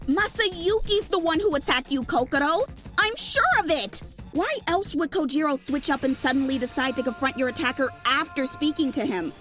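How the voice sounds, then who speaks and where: A woman's voice: high-pitched, normal speed, a bit bright, soft background music. A woman playing a hot-headed, accusatory young woman in an audio drama, blunt, emphatic and insistent. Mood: heated, accusing. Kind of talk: fan audio drama acting.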